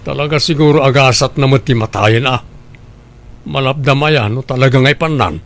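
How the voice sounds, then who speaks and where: A man's voice: medium pitch, moderate pace, close to an everyday voice. A man acting a scheming, grasping husband in an Ilocano radio drama, goading and argumentative. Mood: callous.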